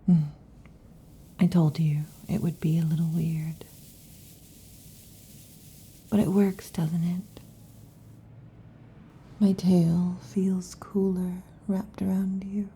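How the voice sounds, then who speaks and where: A woman's voice: on the low side, little pitch movement, slow, pauses often, mellow, slightly rough, exaggerated voice. Woman whispering as an intimate snake-tailed lamia. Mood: whispery, intimate. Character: restless affectionate female lamia, snake-tailed monster girl. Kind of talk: ASMR roleplay.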